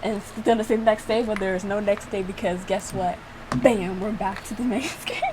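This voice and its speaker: Female creator for casual reaction video: medium pitch, animated and informal delivery with playful exasperation, noticeable noise behind the voice. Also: moderate pace. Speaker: video creator reacting to own visual novel.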